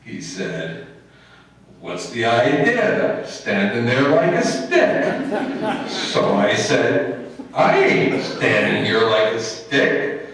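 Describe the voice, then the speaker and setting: Man playing a slow, dopey schoolboy, echoey. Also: mid-range voice, wildly swooping pitch, crowd noise, heavily acted. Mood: dopey, earnest. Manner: slow, halting, ungrammatical, dopey. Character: slow-witted, good-natured male high school student. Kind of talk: old-time radio comedy acting. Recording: very echoey, distant room recording.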